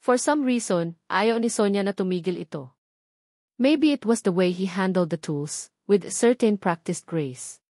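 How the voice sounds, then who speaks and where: A Filipino-accented woman tells a love story as an audiobook narrator. Her delivery is dramatic but conversational, at a medium pitch. The recording is very dry, with little trace of a room. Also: animated, a little slow, a little mellow, no echo.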